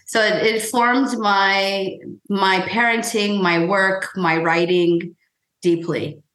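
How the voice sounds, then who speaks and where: An American woman giving reflective, thoughtful interview answers in conversation, phrased in measured pieces. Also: mid-range voice, steady tone, a bit bright. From United States. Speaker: podcast guest, physician. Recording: echoey, roomy sound.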